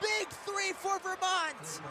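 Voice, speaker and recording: Excitable high-pitched man calling basketball. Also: takes it slowly. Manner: excitable, punchy short phrases, shouted calls on big plays. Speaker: radio play-by-play basketball announcer. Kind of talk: live sports commentary. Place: courtside in a college basketball arena. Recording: loud arena crowd and court noise. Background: crowd, bouncing basketball.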